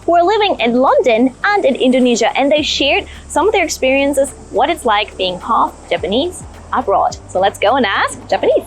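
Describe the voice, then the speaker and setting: High, upbeat woman presenter. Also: sing-song, normal speed, a little hiss or hum. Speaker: show host. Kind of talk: scripted video intro.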